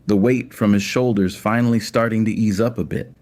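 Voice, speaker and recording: A man with a deep voice narrates a scripted story. His delivery is measured and literary, made for an audio anthology. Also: fairly even intonation, a little mellow.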